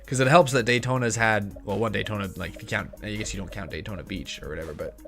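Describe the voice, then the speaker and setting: A man's voice: on the low side, quick pace, a bit bright, faint music behind. Chatty young man on a home stream. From United States. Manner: chatty, thinking aloud, self-correcting. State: slightly hoarse, tickle in throat. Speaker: livestream host. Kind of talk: casual livestream chat. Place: small home streaming room. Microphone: headset and studio mic on arm.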